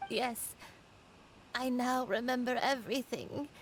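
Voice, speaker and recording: A woman voicing a gentle, remorseful elderly grandmother in a video game, telling her story in an earnest, measured way. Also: fairly high pitch. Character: gentle elderly granny, secretly a crane, full of remorse.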